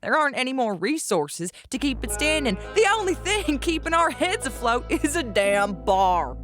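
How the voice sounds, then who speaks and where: A woman's voice: sits high, very animated, moderate pace, fairly bright tone, soft background music. A woman playing a sardonic, trigger-happy outlaw in a western audio drama, with a wry drawl. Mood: grim, determined.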